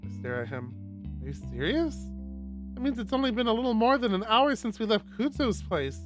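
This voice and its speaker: A man's voice: very high-pitched, very animated, brisk. A man streaming a visual novel, reading first-person fiction aloud. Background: guitar music.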